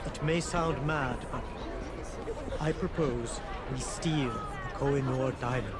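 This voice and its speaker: A man's voice: mid-range voice, slow, a loud crowd, close to an everyday voice. A man playing an exiled prince in a video game, with a formal, courteous, earnest way of speaking. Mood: earnest, scheming. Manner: formal, courteous, well-spoken. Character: exiled Indian prince, polite, refined, earnest, idealistic. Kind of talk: video game cutscene acting. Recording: loud outdoor city and vehicle noise behind.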